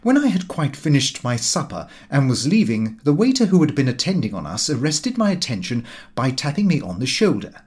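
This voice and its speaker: Animated male audiobook narrator. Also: medium pitch, fairly quick, few pauses. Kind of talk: scripted narration of a comic diary novel.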